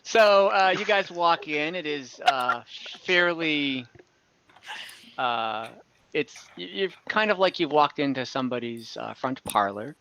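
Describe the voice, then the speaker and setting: A man acting as game master, casually narrating a scene to friends and feeling for his words in a halting way, over an online voice chat. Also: a little high, expressive, bright, forceful tone, slight background noise. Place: online group voice call.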